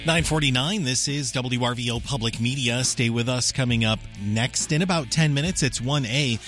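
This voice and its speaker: A man announcing station breaks and reading the weather on local radio, in a steady, informal manner. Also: medium pitch, normal speed, soft background music.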